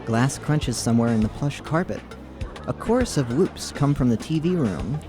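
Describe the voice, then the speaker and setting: A man's voice: medium pitch, animated, moderate pace. Man reading a story aloud over music. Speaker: reader of a game readalong. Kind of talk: scripted narration and character dialogue read aloud. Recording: music behind the voice.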